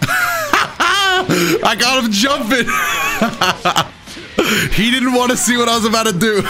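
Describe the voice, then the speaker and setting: A man hosting a gaming video with casual, excited, exclamatory and self-deprecating play-by-play commentary. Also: very high-pitched, extremely slow, long pauses. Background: game music behind the voice.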